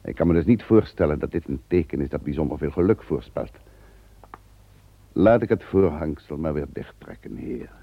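An actor playing a blunt Roman servant and guard in an old radio play. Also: male voice, rumbling low, lively intonation, normal speed, vocal fry, husky, no echo, phone-quality sound, exaggerated voice. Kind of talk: radio drama acting.

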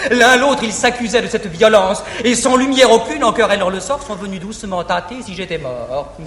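An actor playing an ardent young male lover, declaiming classical verse on stage in an excited, rapid storytelling manner. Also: sits high. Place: large theatre stage. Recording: echoey old theatre recording with faint hiss.

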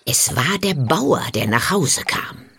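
A woman narrating a fairy tale from a script in an expressive storytelling manner. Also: deep voice, slow, slightly rough.

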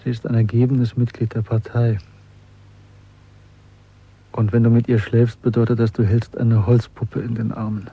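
An actor playing a bitter, brooding dissenter in a radio drama, speaking with introspective intensity. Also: male voice, mid-range voice, flat delivery, moderate pace, long pauses, slightly rough. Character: disillusioned male dissenter, brooding, bitter, restless. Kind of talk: German radio drama acting. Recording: dull radio recording, faint music.

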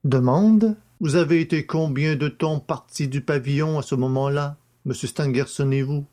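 A man's voice: fairly high pitch, dark tone, natural voice. A man voicing a courteous, methodical examining magistrate who formally questions witnesses in an audiobook drama. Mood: methodical, probing. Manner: formal, deliberate, announces each question. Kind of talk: audiobook character acting.

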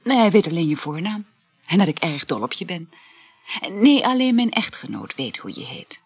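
An actress in a radio play voicing a dreamy, flirtatious married woman, speaking in a breathy, languid, halting murmur. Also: lowish voice, very animated, heavily acted. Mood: dreamy, affectionate. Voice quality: breathy, husky. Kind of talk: intimate radio play acting.

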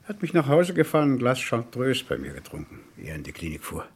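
An actor playing a guarded medical professor in a German radio crime drama, formal and measured, with a rough, gravelly voice. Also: male voice, medium pitch, huge pitch swings, heavily acted.

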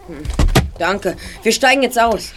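A woman's voice: a little high, expressive, unhurried, noisy background. A woman playing a precocious, self-assured boy detective in an audio drama, articulate and formally polite. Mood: inquisitive. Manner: articulate, polite, somewhat pompous wording. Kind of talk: audio drama dialogue acting.

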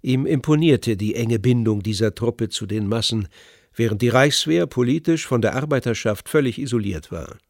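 A man's voice: mid-range voice, expressive, moderate pace, dry recording. Male documentary narrator, dry close sound. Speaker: narrator of a radio documentary play.

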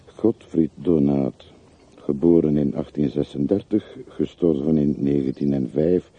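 An actor in a radio drama playing a poor, sober, deferential man with a measured, matter-of-fact way of speaking. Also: extremely low pitch, steady tone, breathy edge, heavily acted. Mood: solemn, measured. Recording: muffled, narrow-band old radio play recording.

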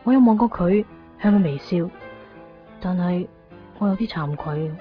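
Actress as earnest yearning young Hongkonger, muffled. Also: female voice, lowish voice, expressive, normal speed, lightly acted. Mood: tender. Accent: Hong Kong Cantonese. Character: yearning, self-doubting young woman in love. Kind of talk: radio drama inner monologue. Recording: dull 1990s radio recording. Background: faint music.

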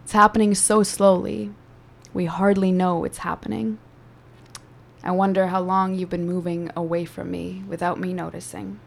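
A woman whose first language is Afrikaans, a spoken word poet and teacher, performing a poem with slow dramatic delivery and chatting about her craft. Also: lowish voice, level tone, vocal fry. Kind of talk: poetry performance and conversation.

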